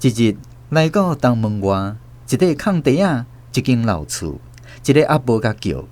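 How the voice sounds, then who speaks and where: A man with a Taiwanese accent narrating a scripted radio drama story in an expressive storyteller's delivery. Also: medium pitch, normal speed. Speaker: host and narrator.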